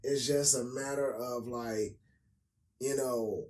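Raspy American man, echoey and distant. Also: mid-range voice, steady tone, slow pace, a little mellow. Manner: slangy, profane, repetitive emphasis. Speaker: podcast co-host. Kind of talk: casual opinionated chat. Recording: roomy echo, distant from mic.

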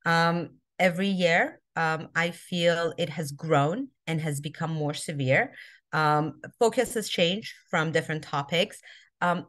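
A woman from Iran, a podcast guest, answering interview questions with many pauses and ums. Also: fairly low pitch, fairly bright tone. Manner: hesitant, many ums and restarts. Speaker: podcast guest, social justice activist.

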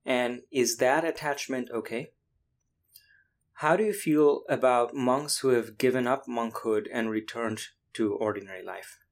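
A man's voice: medium pitch, measured pace. Bangla-native man narrating a travel story. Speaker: podcast host and narrator. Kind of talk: scripted narration and casual interview.

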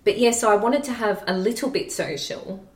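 A woman's voice: mid-range voice, lively intonation, normal speed, over a noisy crowd. A woman, a podcast guest chatting casually and self-deprecatingly about her work, laughing easily. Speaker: podcast guest, social media marketer. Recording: roomy sound, distant from the mic.

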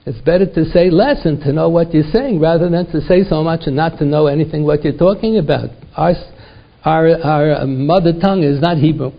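A man's voice: fairly high pitch, fairly quick. An older American man, a rabbi giving a Torah lecture to a congregation, repeating words and asking rhetorical questions, peppered with Yiddish and Hebrew terms. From United States. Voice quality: gravelly, husky. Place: synagogue lecture. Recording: dull lo-fi recording.

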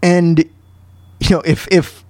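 A man hosting a talk show with casual off-the-cuff news commentary, halting and repeating himself in an exasperated way. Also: fairly high pitch, level tone, warm tone, dry recording. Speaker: podcast host commenting on news clips.

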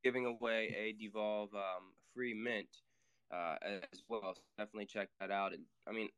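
A man's voice: on the low side, fairly even intonation, moderate pace, fairly bright tone, clean tone. A man co-hosting a casual online audio chat, enthusiastic and asking long, rambling questions. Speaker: co-host.

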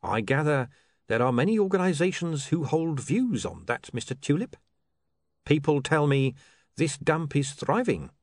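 A smooth criminal schemer in an audiobook, acted by a male narrator. He is cynical, condescending and exasperated, his voice pitched up and very mobile. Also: very animated, normal speed, no echo, studio quality. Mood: smooth cynicism. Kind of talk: audiobook character voice.

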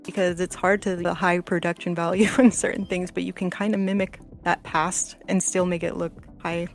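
Female podcast panelist, casual conversational delivery, noticeable vocal fry, slightly low register. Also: flat delivery, normal speed. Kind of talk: casual chat. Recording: faint music underneath. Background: soft background music.

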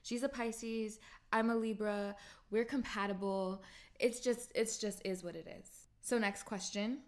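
A woman's voice: medium pitch, fairly even intonation, measured pace. A woman in her late twenties hosting a podcast, answering listener questions in a chatty, upbeat way and animating her voice when she quotes herself. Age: 20s. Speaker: podcast host. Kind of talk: casual solo Q&A podcast.

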